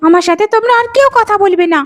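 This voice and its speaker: A woman's voice: extremely high pitch, fast, faint music behind. A woman voicing a spiteful, lazy, quarrelsome younger daughter-in-law in a cartoon, whining and complaining sharply. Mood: indignant. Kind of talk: Bengali cartoon voice acting.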